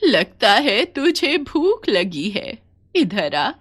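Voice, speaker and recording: A woman's voice: on the high side, very animated, pitched above the performer's own voice, full character voice. A woman voicing a sorrowful old village grandmother, tender and plaintive, in a children's story. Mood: weary, gentle. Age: older. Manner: drawn-out, plaintive pleading.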